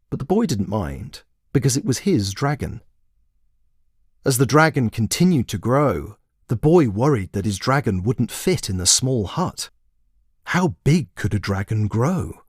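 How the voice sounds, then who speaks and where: A man's voice: fairly low pitch, husky, no room sound, clean studio sound. A man narrating a story expressively, in the manner of a storybook reading. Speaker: author and narrator.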